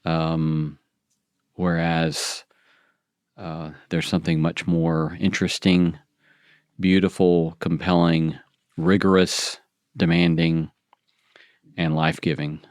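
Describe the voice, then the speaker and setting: A middle-aged man who teaches theology, co-hosting a podcast and answering in a hesitant way with many pauses. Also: sits low, flat delivery, a little mellow, clean tone, dry recording, studio quality. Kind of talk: conversational podcast chat.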